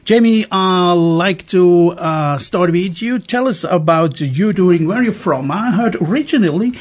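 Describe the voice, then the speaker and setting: A man's voice: sits high, lively intonation, measured pace. A man with a Swiss German accent, a native German speaker, hosting a bilingual radio music interview in a studio. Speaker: radio host.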